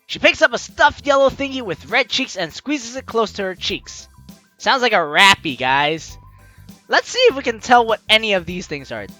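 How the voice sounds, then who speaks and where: Casting: excitable let's play host, bright tone, lively swings in pitch, stammering asides, playful delivery. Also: moderate pace, faint music behind. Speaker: gaming video host. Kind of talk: let's play commentary, reading game text aloud.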